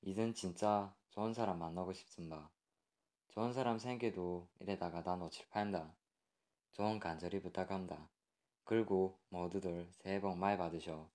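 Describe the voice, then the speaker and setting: A man's voice: fairly even intonation, normal speed, natural voice. A man reading aloud a young woman's letter in a Yanbian Korean accent. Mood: earnest. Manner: reading a listener's letter aloud, soft and low. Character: woman letter writer in her twenties.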